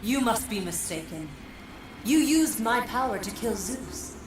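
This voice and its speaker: A woman's voice: fairly high pitch, lively intonation, background noise. A woman playing an imperious goddess in a game cutscene, solemn and commanding, with an echoing voice. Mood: urgent realization. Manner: solemn, commanding, grandly deliberate. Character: imperious, insistent goddess woman of stately authority. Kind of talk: dramatic video game cutscene acting. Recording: echoey, distant voice under loud music.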